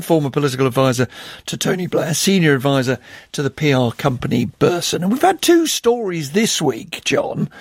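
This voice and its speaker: A man with a gravelly, husky voice presents a live radio programme from the studio. His delivery is lively and he puts brisk, probing questions. The sound is close and dry. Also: a little high, dry recording.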